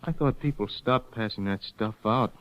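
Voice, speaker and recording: An actor playing a modest, hesitant young man named Sam in a 1940s radio drama, speaking softly. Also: on the low side, moderate pace, natural voice. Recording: dull old radio broadcast.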